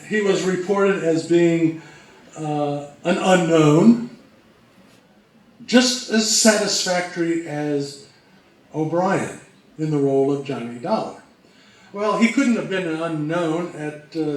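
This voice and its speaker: Man presenting radio history, higher voice, slow and deliberate, super echoey. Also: distant mic. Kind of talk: informal talk on old radio history. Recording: very echoey room, off-mic.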